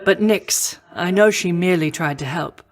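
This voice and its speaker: A woman voicing a noble, composed goddess of wisdom and war in a video game, with formal, measured and stately diction. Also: lowish voice, lightly acted. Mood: gracious, thoughtful. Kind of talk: scripted video game voice acting.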